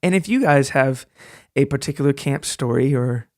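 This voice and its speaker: A man's voice: medium pitch, normal speed, darkish tone, no echo, studio quality. A man hosting a narrative podcast, talking to listeners in a joking, casual, storytelling way. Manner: joking, rambling, addresses listeners directly.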